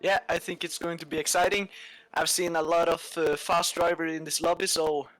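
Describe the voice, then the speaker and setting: A man's voice: fairly high pitch, flat delivery, brisk, a bit bright, poor audio. A man from Norway, English not his first language, co-commentating a sim race and describing the cars on track. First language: Norwegian. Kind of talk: amateur esports commentary.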